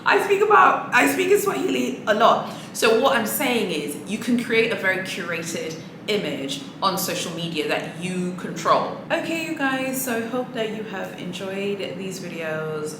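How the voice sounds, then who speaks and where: A woman with a fairly low voice talks casually and earnestly to camera, like a motivational pep talk for her viewers. She restarts her phrases and laughs softly now and then. The room is echoey, and a steady background noise sits under her voice. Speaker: luxury handbag vlogger.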